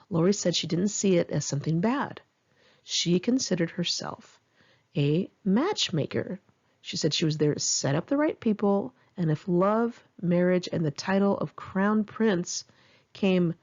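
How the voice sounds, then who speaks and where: A woman's voice: lowish voice, darkish tone, husky, highs cut off. A middle-aged woman reading her memoir aloud in one take, candid and wry, with casual swearing. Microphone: home microphone.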